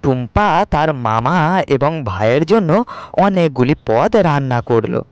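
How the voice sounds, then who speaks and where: A man's voice: mid-range voice, expressive, moderate pace, clear, resonant tone, dry recording, muffled recording. A man reading scripted storytelling narration for a cartoon folk tale.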